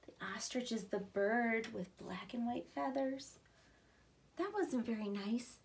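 Woman, thirties, early childhood read-aloud; high-pitched, unhurried, expressive and simple in her explanations. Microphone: camera a short distance away.

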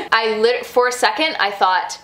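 Young woman telling a story, roomy sound. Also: a little high. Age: 20s. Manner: animated storytelling, acting out reactions and quoted dialogue. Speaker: vlogger telling a personal story. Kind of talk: casual storytime chat to camera. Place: furnished home room with a desk, indoors.

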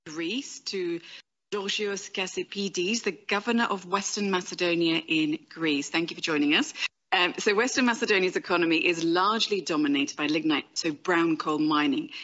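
A young woman with a slightly low voice steers a panel, polite and steady as she hands each speaker the floor. She speaks into a desk mic in a studio, and the stream audio sounds a little dull. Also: normal speed. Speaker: moderator. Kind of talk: moderating a panel. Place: conference studio. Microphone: desk microphone.

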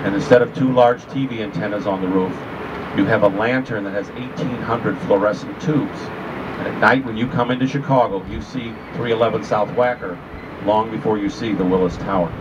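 An older, retired man giving live architecture tour commentary on an open boat, telling it like a storyteller with dry humor. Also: on the low side. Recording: distant muffled mic, loud wind and engine noise. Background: loud wind, outdoor city and boat noise.

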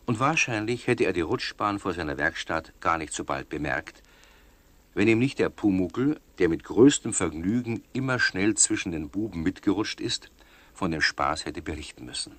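Deep, animated male storyteller. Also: moderate pace. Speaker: narrator. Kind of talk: scripted children's story narration.

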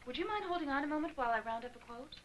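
Thirties female sales professional, fairly high voice, earnest, persuasive, 1980s film soundtrack. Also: expressive, racing, fairly bright tone, slight background noise. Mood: apologetic, eager. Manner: businesslike, eager to please. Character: earnest, persuasive young businesswoman in phone sales.

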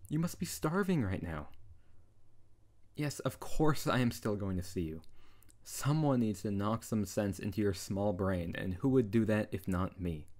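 A man's voice: fairly low pitch, a little mellow, lightly acted. A man playing a young tsundere wizard who is bossy, exasperated and caring, in an intimate ASMR roleplay. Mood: exasperated, scolding. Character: tsundere young male wizard, scolding but tender best friend. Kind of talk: intimate ASMR audio roleplay addressed to the listener.

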